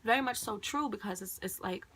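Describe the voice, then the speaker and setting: Young woman, native Khmer speaker, bright voice, loose rambling vlog delivery. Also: mid-range voice, a little fast, slight background noise. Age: young adult. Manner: rambling, heavy on "like" and "um". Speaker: college student vlogger. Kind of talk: casual rambling monologue.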